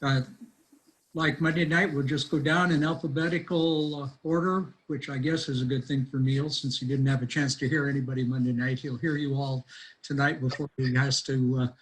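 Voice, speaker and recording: A man moderating a meeting on a video call, talking in a relaxed, conversational way. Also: mid-range voice, normal speed, a little hiss or hum, rough recording. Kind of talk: casual hosting on a video call.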